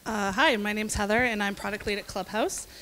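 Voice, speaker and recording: A woman's voice: mid-range voice, fairly even intonation, a little fast, a bit bright. A woman product lead talking casually as a panelist, with some background noise on the recording.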